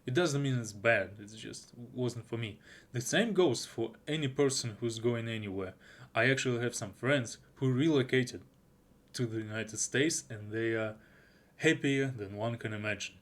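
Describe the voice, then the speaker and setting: A young man whose first language is Russian, talking through an unscripted anime review with lots of restarts and fillers. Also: medium pitch. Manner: halting, with frequent restarts and fillers. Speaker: YouTuber.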